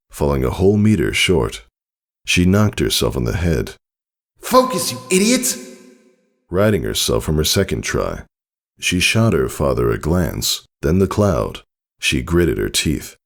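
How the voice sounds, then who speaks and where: Deep, creaky-voiced male story narrator. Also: big pitch swings, moderate pace. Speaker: audiobook narrator. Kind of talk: scripted narration of fan fiction, dramatic storytelling.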